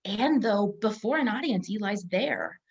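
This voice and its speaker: A woman's voice: mid-range voice, lively intonation, measured pace, breathy edge, muffled recording. A woman co-hosting a conversational Bible discussion in a thoughtful, reflective way. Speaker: podcast co-host.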